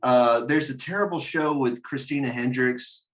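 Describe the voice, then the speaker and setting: A man hosting a casual chat show, talking in a halting, joking way with the odd mild swear. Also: medium pitch, a bit bright. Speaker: host.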